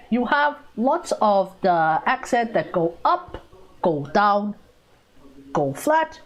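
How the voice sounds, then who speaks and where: A woman's voice: a little high, low-quality recording. Chatty Australian woman streaming. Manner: chatty, rambling, repeats herself. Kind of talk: casual livestream chat while gaming.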